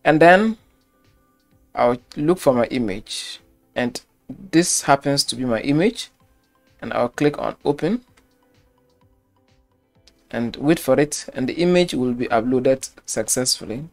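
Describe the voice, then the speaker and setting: Slow, methodical man teaching web design. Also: medium pitch, lively intonation, vocal fry, soft background music. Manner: slow step-by-step instruction, repeats phrases. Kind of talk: screen-recorded software tutorial.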